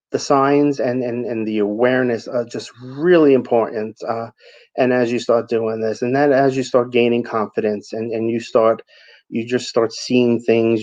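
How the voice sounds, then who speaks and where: A man's voice: medium pitch, warm tone, highs cut off. Hesitant New York man hosting a reading. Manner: hesitant, many ums and restarts, earnest. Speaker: psychic reader, show host. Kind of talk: live-stream reading and chat with viewers.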